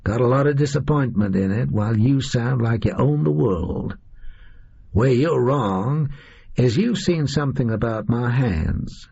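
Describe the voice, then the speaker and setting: A male reader voicing a disillusioned, boastful gunman in an audio story, with a high, reedy voice and folksy, slangy delivery. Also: gravelly, mellow, husky, dull audio, heavily acted.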